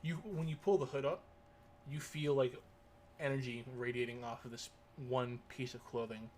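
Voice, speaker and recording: A man narrating as game master of a tabletop roleplaying game, in a halting way with many filler words. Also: medium pitch, fairly even intonation, darkish tone, a little hiss or hum.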